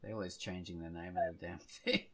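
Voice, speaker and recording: A man with a low, creaky voice hosts a casual podcast. He rambles along conversationally, thinking out loud as he talks. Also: steady tone, brisk. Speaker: podcast host.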